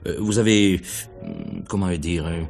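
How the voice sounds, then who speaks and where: Very deep-voiced police inspector. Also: male voice, darkish tone, faint music behind. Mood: probing, wry. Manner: hesitant, deliberate questioning. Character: probing middle-aged male police inspector, hesitant yet sly. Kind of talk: French radio mystery play acting in 1950s manner.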